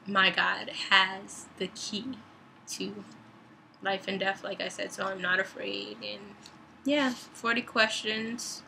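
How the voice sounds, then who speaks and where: A young woman in a reflective personal vlog, speaking thoughtfully and deliberately about faith, her voice going dry and raspy. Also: medium pitch, steady tone, sharp tone, a little hiss or hum, poor audio. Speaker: vlogger and author.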